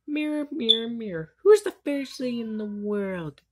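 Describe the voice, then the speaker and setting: A woman's voice: a little high, lively intonation, normal speed. A woman voicing a vain, hot-tempered rival sorceress in an amateur anime fandub, haughty and theatrical.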